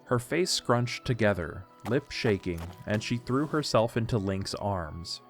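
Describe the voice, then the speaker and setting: A man's voice: on the low side, lively intonation, a little slow, soft background music. A man narrating a scripted story for an audio drama.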